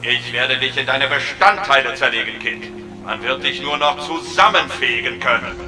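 A man plays a menacing male machine, an evil talking truck in an audio drama. He draws out his taunts vengefully, with clear music behind him. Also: mid-range voice, steady tone, lightly acted. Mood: threatening. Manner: threatening, taunting, drawn-out. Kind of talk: German audio drama villain acting.

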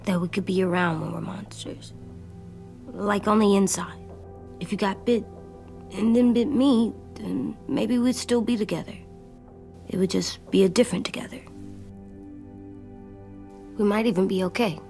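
A woman playing an anxious, stubborn young boy in a dramatic video game, speaking earnestly in halting, childlike phrases. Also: medium pitch, creaky, darkish tone, faint music behind, lightly acted. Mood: hesitant.

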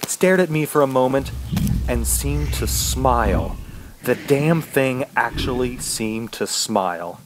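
An actor playing an injured, desperate man trapped in the woods, narrating a horror radio drama in a halting, dramatic way. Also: medium pitch, a little hiss or hum. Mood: horrified. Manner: dramatic, halting, with anguished outbursts. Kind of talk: first-person horror radio drama monologue. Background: music.

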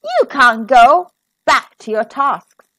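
A woman voicing a bossy, scolding wicked stepmother in a shrill storybook voice. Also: wildly swooping pitch, slow, a bit bright, no echo, full character voice.

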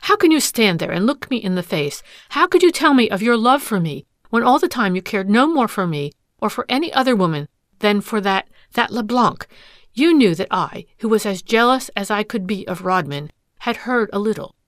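A woman's voice: mid-range voice, lively intonation, normal speed, no echo, clean studio sound, voice pushed up, lightly acted. A woman voicing a proud, bitter wife who has been wronged, speaking with passionate scorn. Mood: scornful. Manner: scornful, passionate, breaking into sobs.

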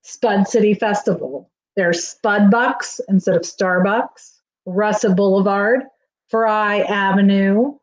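A middle-aged woman reading a children's picture book aloud in an expressive storytelling manner. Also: medium pitch, takes it slowly, rough recording. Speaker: school staff reading aloud. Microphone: webcam on a video call, near.

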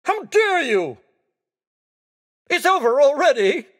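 A man voicing a theatrical, excitable skeleton gentleman in a racing video game, with courteous, exclamatory delivery. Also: extremely high pitch, slow pace, heavily acted. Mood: startled, emphatic. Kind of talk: video game character voice clips.

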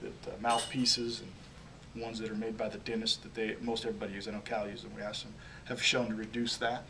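A man in his forties sits in a small press room and talks into a table microphone, pitched a little low and often stumbling over repeated words. The mic sits some way off and picks up plenty of room noise. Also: flat delivery, gravelly, far from the mic. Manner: halting, repeats words while searching for phrases. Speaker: head football coach. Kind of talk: postgame press conference answers. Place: small press room, in front of a logo backdrop. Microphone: table microphone on a stand.

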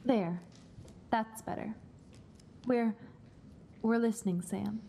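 Young woman acting high-voiced, unhurried small-town sweetheart. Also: creaky, dark tone, a little hiss or hum. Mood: playful, coy. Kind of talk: live radio play reading.

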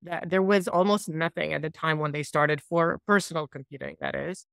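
Gravelly-voiced man on a video call. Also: fairly high pitch, steady tone, normal speed, fairly bright tone, no echo, studio quality. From Iraq. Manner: thoughtful, loose, with many fillers and restarts. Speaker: software company founder. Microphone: laptop mic on a video call.

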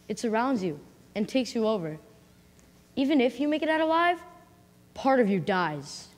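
A woman voices a weary young male soldier who is worn down and bitter. He talks in a high, earnest voice with heavy emphasis. The sound rings with the long echo of a hall. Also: a little mellow. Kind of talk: amateur drama acting. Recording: echoey hall.

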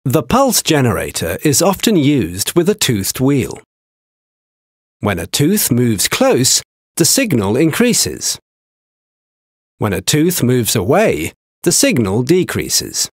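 A man giving scripted technical narration for a training video, with a measured, explanatory delivery. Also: mid-range voice, wildly swooping pitch, breathy edge, no echo, studio quality.

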